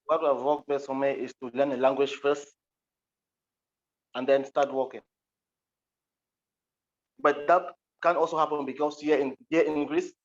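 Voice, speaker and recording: A man from Cameroon with a Cameroonian accent, recounting personal experience plainly and a little hesitantly as a guest on an online call. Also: a little high. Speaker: interview guest, interpreter. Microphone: video call. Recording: muffled, dull online call audio.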